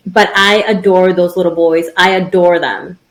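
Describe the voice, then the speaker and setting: A woman's voice: fairly low pitch, steady tone, moderate pace, poor audio. A woman in her thirties co-hosting a podcast, talking in a rambling, casual way with many restarts. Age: 30s. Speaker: podcast co-host. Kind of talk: casual chat.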